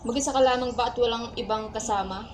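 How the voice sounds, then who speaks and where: A woman's voice: fairly high pitch, fairly even intonation, fast, slight background noise, close to an everyday voice. A woman playing a businesslike, impatient colonial guard in a radio play, firm and authoritative. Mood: firm, bargaining. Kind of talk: radio play acting in Filipino.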